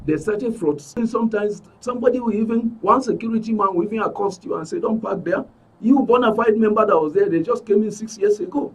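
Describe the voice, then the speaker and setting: Male interview guest, middle-aged, Nigerian accent. Slightly high, rough-edged voice, emphatic delivery, off-mic sound. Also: quick pace, far from the mic, low-quality recording. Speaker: studio guest, aggrieved club member. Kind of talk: spoken account in a broadcast interview. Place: radio and TV studio.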